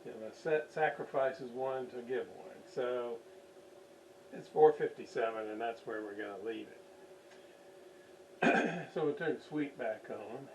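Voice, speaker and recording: A man giving an unscripted hands-on demonstration at a workbench, halting as he thinks aloud while he works. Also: medium pitch. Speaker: radio restoration hobbyist, demonstrator. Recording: distant mic with faint background noise.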